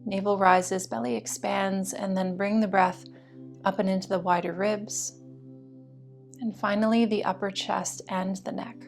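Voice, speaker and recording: A woman yoga teacher guiding a meditation practice in a soothing, slow and deliberate way. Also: medium pitch, flat delivery, vocal fry. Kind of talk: guided meditation instruction. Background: music.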